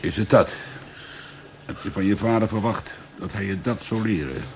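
An actor playing a grave, probing police investigator in a radio drama, speaking in a measured, deliberate way. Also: male voice, on the low side, a little mellow, slight background noise, natural voice.

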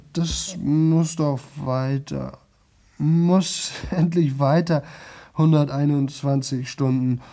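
An actor playing a young man in a radio play, exhausted and desperate, with a sore, rough throat, talking on in halting, repeated fragments. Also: fairly high pitch, mellow. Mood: dazed, insistent. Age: young adult. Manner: halting, repetitive, broken fragments. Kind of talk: 1970s German radio play monologue.

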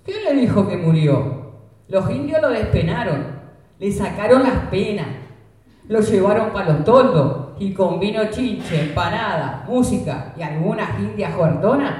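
An actor playing a wry, deadpan gaucho countryman with a Rioplatense accent in an amateur radio play. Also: mid-range voice, measured pace, far from the mic, lightly acted. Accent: Rioplatense Spanish. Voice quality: dry, deadpan humor. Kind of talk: amateur radio drama acting. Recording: echoey room, off-mic.

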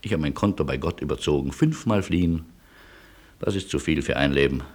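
Wry, low-voiced, husky refugee Jakubowski. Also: male voice, a little fast, darkish tone. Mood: ironic resignation. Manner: ironic, rueful, given to philosophical quips. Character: Jakubowski, wry, resigned wandering refugee, a freethinking European. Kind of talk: German radio play acting with narration.

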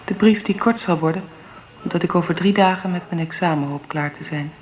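A woman's voice: lowish voice, fairly quick, a little mellow, natural voice, poor audio. Actress playing an earnest, tender young mother, radio drama. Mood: wistful. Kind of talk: Dutch radio drama acting.

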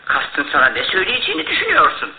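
A man's voice: fairly high pitch, huge pitch swings, racing. A male actor playing a detective's confident, eager companion in a radio drama. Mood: eager, questioning. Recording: echoey, tinny, noisy old radio recording.

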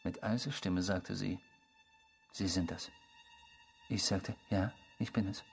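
Husky, eerie obsessive storyteller. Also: male voice, low voice, fairly even intonation, slow, faint music behind, full character voice. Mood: intense, hushed. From the Netherlands (character). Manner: theatrical, savouring, unrepentant, mimicking the people quoted. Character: eerie, obsessive male stranger confessing a past crime. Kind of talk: radio drama dialogue, first-person storytelling.

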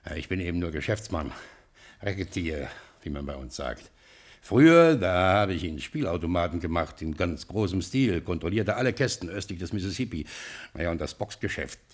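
An actor playing a rough, anxious American gangster boss in a radio play, talking colloquially and stammering when nervous. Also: male voice, low-pitched, animated, normal speed. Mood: boastful. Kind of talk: 1960s German radio play acting.

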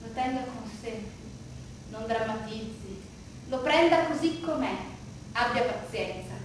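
A woman performing a theatrical monologue, telling a story dramatically with halting repetitions. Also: on the high side. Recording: very echoey room with faint noise.

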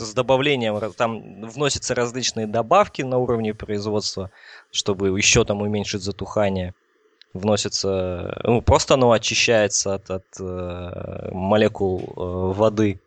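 A man's voice: fairly low pitch, steady tone, moderate pace, no echo. A man explaining technical matters in a casual, conversational panel discussion.

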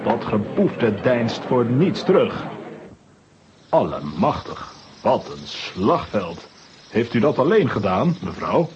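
An actor playing an intense, gallant nineteenth-century painter, dramatic and protective, in a children's audio drama. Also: male voice, medium pitch, big pitch swings, takes it slowly, a little mellow, muffled recording, slight background noise. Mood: protective, astonished.

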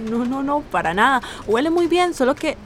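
An actress playing a friendly, caring young internet café worker in a radio drama, with a Costa Rican accent. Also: female voice, high voice, expressive, moderate pace, a little hiss or hum. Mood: friendly, flustered. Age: young adult. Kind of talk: naturalistic radio drama acting.

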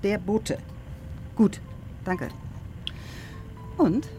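An actress playing a tough, hot-tempered police investigator who is blunt, commanding and sarcastic, in a radio crime drama. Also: female voice, medium pitch, animated, drawn-out words, background noise. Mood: brisk, businesslike.

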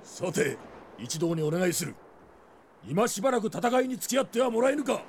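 A stern, devoted old knight in a fantasy audio drama. His voice sits high and swings widely in pitch, with archaic, formal phrasing over faint ambient noise. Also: very animated, moderate pace. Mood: grave, resolute. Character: stern, devoted older male knight commander advising a young prince.

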